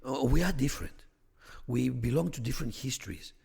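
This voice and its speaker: A man, a theology scholar on a podcast, talking through ideas in a measured, searching way with plenty of restarts. His voice has a gravelly edge. The room adds a long reverb tail. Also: mid-range voice, animated, darkish tone. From Greece. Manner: thoughtful, many restarts and "you know" fillers, rising emphasis on key points. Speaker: podcast guest, theology academic. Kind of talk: conversational explanation.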